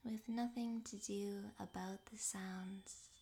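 A woman leads a guided rest meditation in a soft, breathy near-whisper. Her delivery is very slow and gentle, with long pauses between phrases. The pitch stays level and calm throughout. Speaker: yoga nidra meditation guide.

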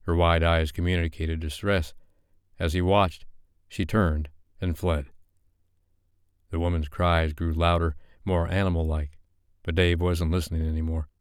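A man narrating an audiobook in a steady, measured reading style. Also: sits low, clear, resonant tone, dry recording, clean studio sound.

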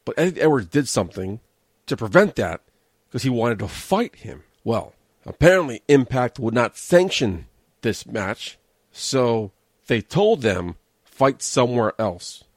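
A man's voice: medium pitch, very animated, a little slow, no echo. An American man hosting a casual solo review show, rambling through his opinions in a sardonic way. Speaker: host of a wrestling review show. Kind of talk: casual solo commentary on wrestling shows.

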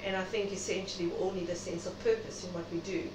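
A woman's voice: fairly low pitch, fairly even intonation, fast. A middle-aged South African woman speaking reflectively and chattily as a guest in an interview. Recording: noisy, echoey room, distant mic.

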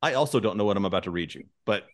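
A man's voice: on the low side, animated, rapid-fire. A man hosting a podcast in a jokey, informal, conversational way. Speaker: podcast host. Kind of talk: casual comic chat.

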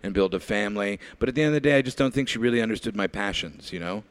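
A man's voice: on the low side, very fast, clean tone, close to an everyday voice. A man playing a needy, menacing killer who unloads his feelings in a blunt, confessional way in an improvised comedy parody of a radio play. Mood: wounded.

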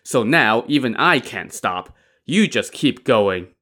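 A sly storybook magician who is calmly in charge, played by a male narrator. He hands out instructions with mock seriousness and teases as he goes, in a medium-pitched voice. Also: slow pace, full character voice. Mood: mock-serious.

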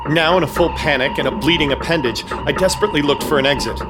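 A man's voice: a little high, quick pace, over loud music. A man narrating a horror comedy radio play in the first person, wry and storytelling.

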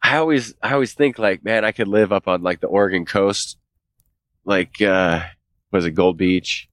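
A man's voice: low voice, normal speed, a bit bright, no echo. A man co-hosting a podcast, talking in a laid-back, rambling way with a stuffy, nasal voice from a cold. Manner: laid-back, rambling, swears casually, laughs often. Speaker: podcast co-host. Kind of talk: casual chat.

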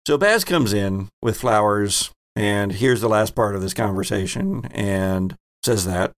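A man hosting as game master, explaining rules and narrating a tabletop roleplaying game in a halting way. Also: on the low side.

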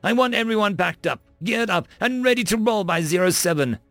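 A man voicing a confident female Marine sergeant who gives brisk, firm orders in an audiobook story. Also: high voice, expressive, fairly bright tone, no room sound, voice pushed up. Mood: resolute. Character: commanding, confident female Marine sergeant briefing her squad.

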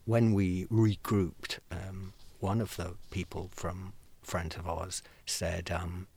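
A man, telling lively anecdotes with frequent stammered restarts. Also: low voice, slow pace, slightly rough. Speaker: guest telling stories. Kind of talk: animated anecdote, acting out voices.

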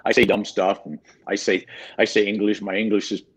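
A man's voice: fairly low pitch, level tone, a little fast. A man from mid-Michigan with a Michigan accent touched by Southern speech, a guest in a casual online interview who rambles and repeats his words. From mid-Michigan, USA. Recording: muffled lo-fi video call audio.

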